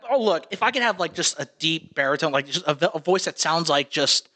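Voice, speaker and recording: Man, nasal voice, high pitch, bright, rambling casual podcast chat. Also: animated, brisk. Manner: rambling, restarts a lot. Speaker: podcast co-host.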